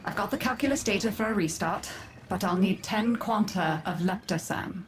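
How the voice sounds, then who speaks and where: A woman's voice: mid-range voice, moderate pace, background noise, natural voice. Woman as a commanding game mother. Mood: resolute. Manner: firm, directive. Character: commanding, urgent mother leading her family of servants. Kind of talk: video game cutscene acting. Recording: loud music behind the voice.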